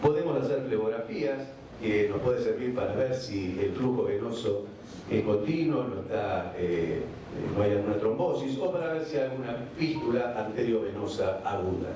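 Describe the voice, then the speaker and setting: A man from Argentina with an Argentine accent, giving a measured medical conference lecture with frequent hesitation fillers. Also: lowish voice, lively intonation, recorded from a distance. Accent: Argentine Spanish. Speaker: vascular surgeon giving a conference talk. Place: large conference hall. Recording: echoey hall recording from afar, background noise.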